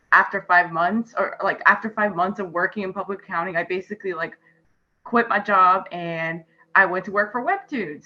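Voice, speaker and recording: A woman with a bright, forceful voice introduces herself in a loose, chatty way, with plenty of fillers and easy laughter. The sound is muffled and lo-fi, like a video call. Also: mid-range voice. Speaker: webcomic creator on a panel. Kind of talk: casual panel self-introduction.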